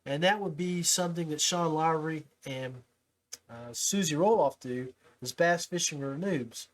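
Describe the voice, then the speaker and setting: A man with an American accent hosts a casual podcast. His voice sits a little high for a man. He speaks in a folksy, informal way, like someone chatting with buddies. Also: a little slow, rough recording. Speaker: podcast host. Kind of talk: casual podcast talk and interview.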